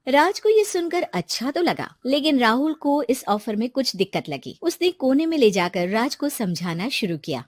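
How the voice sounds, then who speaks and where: A woman's voice: high voice, sing-song, moderate pace, hardly any pauses, dry recording, studio quality. A woman narrating a scripted moral story in storybook style.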